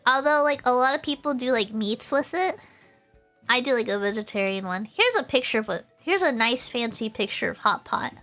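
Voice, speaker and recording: A woman with a very high, bright voice chats casually to her livestream audience. She is bubbly and giggly, tending to repeat little phrases as she goes. Soft music plays faintly behind her. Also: animated, moderate pace. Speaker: VTuber livestreamer. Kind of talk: casual chatty livestream, talking to chat.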